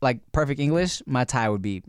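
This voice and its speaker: A man co-hosting a podcast, talking in a relaxed, slangy way and often checking in with "you know what I'm saying.". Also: mid-range voice, brisk, clear, resonant tone, no echo, clean studio sound. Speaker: podcast co-host. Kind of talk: casual chat.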